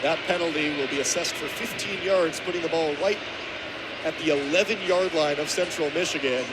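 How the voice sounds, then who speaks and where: Young American male sports announcer, high voice, energetic football radio call, noisy outdoor stadium behind. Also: normal speed. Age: 20s. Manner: energetic, vivid, builds to excited shouts on big plays. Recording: outdoor stadium ambience, clear background noise. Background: stadium noise.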